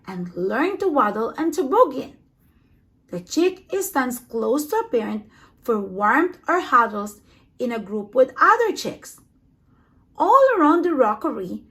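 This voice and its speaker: High-pitched, expressive woman reading a children's book. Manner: expressive, acts out sound words with emphasis. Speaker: storybook reader. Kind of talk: read-aloud children's nonfiction picture book.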